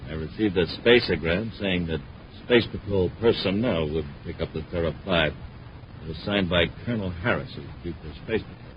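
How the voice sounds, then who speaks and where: A man's voice: low-pitched, a little fast, lightly acted. An actor playing a smug, scheming male villain, gloating and self-assured, in a 1950s radio serial. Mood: wary. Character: smug, scheming male crook who runs a cargo racket. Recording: muffled, noisy 1950s radio recording.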